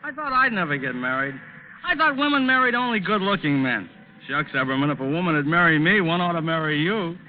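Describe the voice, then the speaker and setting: Guy doing teasing factory buddy, high bouncy folksy voice, tinny old-time radio. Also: animated, a little fast, slight background noise, close to an everyday voice. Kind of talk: 1940s radio drama acting.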